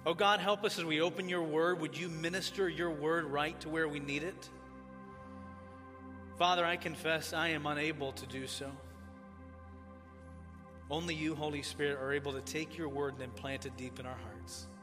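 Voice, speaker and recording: A man who grew up in Tanzania, preaching as a pastor in a church, praying and then teaching in a measured, earnest cadence. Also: fairly high pitch, fairly even intonation, music in the background. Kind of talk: sermon with opening prayer. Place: church sanctuary. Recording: church hall reverb.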